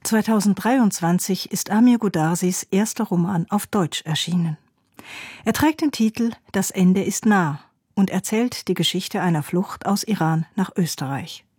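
A woman narrates a radio feature in an even, informative tone. Her voice is a little husky at a middle pitch, recorded dry and close in a studio. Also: no room sound. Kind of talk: scripted narration.

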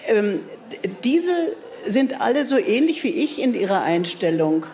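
A woman's voice: mid-range voice, big pitch swings, measured pace, a little mellow. An older woman from East Germany with a Berlin accent, a writer answering interview questions in a laconic, self-mocking way, full of fillers and restarts. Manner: hesitant, many fillers and restarts. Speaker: writer and guest speaker.

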